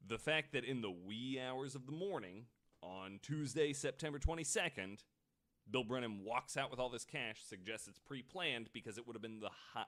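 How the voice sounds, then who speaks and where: A man's voice: medium pitch, bright, forceful tone. A man hosting a podcast, telling a true-crime story in a casual, conversational way with the odd swear word. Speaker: podcast host.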